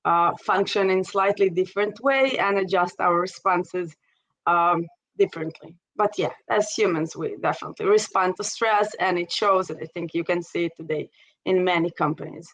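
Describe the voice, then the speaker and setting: A woman's voice: on the low side, normal speed, a bit bright, rough recording. Israeli woman talking on a video call. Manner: conversational, many "you know" fillers. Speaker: interview guest, investor. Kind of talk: casual webinar conversation.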